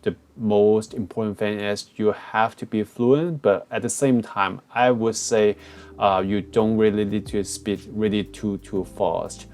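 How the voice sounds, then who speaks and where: A man's voice: on the low side, fairly even intonation, measured pace, a little mellow. A man with a Hong Kong English accent explaining test preparation in a casual walkthrough, with many fillers and restarts. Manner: frequent fillers and restarts, plain phrasing. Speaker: test prep tutor. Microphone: cheap headset.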